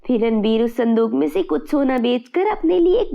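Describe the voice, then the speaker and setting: A woman's voice: high voice, animated, normal speed, gravelly, mellow, dull audio. A woman narrating a scripted fairy tale for children. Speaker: storyteller.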